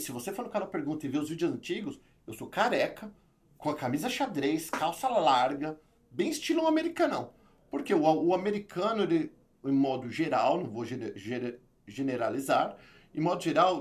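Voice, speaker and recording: São paulo guy, kinda high voice, chatty guest, tells stories fast n animated, lots of restarts. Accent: São Paulo Brazilian Portuguese. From Brazil. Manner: animated, talks in quick bursts with many restarts. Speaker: interview guest, YouTube channel creator. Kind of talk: casual storytelling interview.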